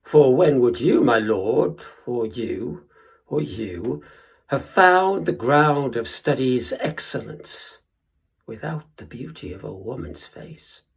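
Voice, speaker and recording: An actor playing a witty, impassioned young lord in a theatrical verse monologue, declaiming with deliberate pauses and swelling emphasis. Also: male voice, mid-range voice, lively intonation, creaky, slightly rough, exaggerated voice. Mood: challenging, rousing. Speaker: Shakespearean actor performing a monologue.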